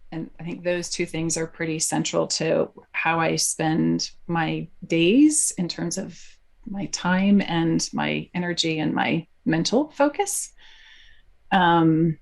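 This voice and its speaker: Middle-aged woman from jersey, talks slow and thoughtful, lowish voice. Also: vocal fry. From New Jersey. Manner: thoughtful, hesitant with fillers. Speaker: podcast guest, artist. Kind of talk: conversational interview.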